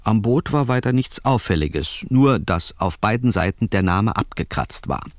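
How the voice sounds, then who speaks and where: A man playing a deep-voiced wry reporter narrator. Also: lively intonation, normal speed, no room sound, clean studio sound, lightly acted. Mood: wry, measured. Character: wry male New York newspaper reporter narrating a case. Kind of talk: scripted first-person radio play narration.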